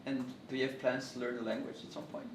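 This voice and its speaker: A man asking short interview questions in a brisk way. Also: mid-range voice, flat delivery. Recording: roomy, distant mic, faint noise.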